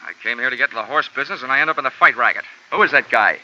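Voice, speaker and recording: A man's voice: mid-range voice, racing, a little hiss or hum. A man playing the lead and narrating in an old radio drama, in a dry, wisecracking, hard-boiled manner. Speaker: insurance investigator narrator and lead. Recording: dull lo-fi old radio broadcast with music.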